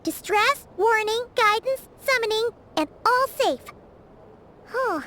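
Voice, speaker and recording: A woman voicing a small, excitable fairy companion in a video game, with a squeaky childlike voice. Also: takes it slowly, slight background noise, heavily acted. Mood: thoughtful.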